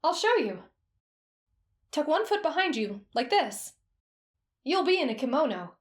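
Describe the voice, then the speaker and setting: A woman's voice: high-pitched, very animated, unhurried. A woman in an ASMR roleplay, playing a strict etiquette teacher. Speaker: voice actor. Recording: roomy echo.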